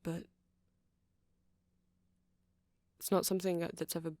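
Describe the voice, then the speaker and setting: A man's voice: a little high, little pitch movement, racing, a little mellow, dry recording, close to an everyday voice. A man playing a shy, earnest, nerdy vampire in an ASMR roleplay, speaking in a hesitant, rambling, stammering way. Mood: earnest, defensive. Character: shy, earnest, nerdy male vampire running a music shop.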